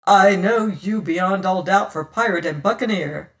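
A man's voice: high-pitched, measured pace, fairly bright tone. A man reading a story as a bitter, hot-tempered adventurer who accuses and defies in archaic speech. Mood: stern accusing. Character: indignant, hot-tempered, proud male adventurer, bitter and distrustful. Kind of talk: dramatised audiobook reading.

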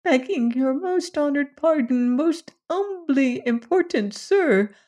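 A woman voicing a small, pitiful male alien that looks like a squirrel, with a squeaky, whimpering voice and an overly formal, pleading way of speaking. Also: lively intonation, slow pace, mellow. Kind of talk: audiobook character voice.

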